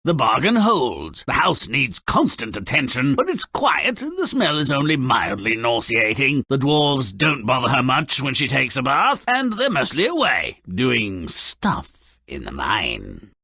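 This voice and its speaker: A man voicing a sardonic, grotesque little dwarf storyteller in a video game, gleefully malicious and melodramatic, with a raspy, gravelly voice and a sneering, mocking delivery. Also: medium pitch, wildly swooping pitch, measured pace, sharp tone, dry recording, studio quality, full character voice. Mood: wry storytelling. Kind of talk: theatrical video game character narration.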